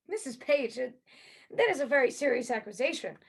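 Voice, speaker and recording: A woman playing a courteous, skeptical private investigator in a play reading, questioning in a hesitant, measured way. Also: sits high, expressive, creaky, breathy edge, heavily acted.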